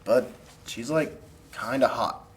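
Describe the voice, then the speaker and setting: A man's voice: mid-range voice, takes it slowly, close to an everyday voice. A young man acting a laid-back, wry male college student in a table read, with casual, slangy delivery. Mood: wry, teasing. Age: young adult. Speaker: actor at a script table read. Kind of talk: casual dialogue read aloud from a script. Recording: noisy room recording.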